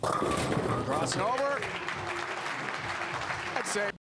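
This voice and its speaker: A man's voice: high-pitched, animated. A male play-by-play announcer calls the action in short, punchy bursts. A roaring crowd and heavy background noise fill the space behind his voice. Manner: brisk, punchy call of each shot. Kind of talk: live sports commentary.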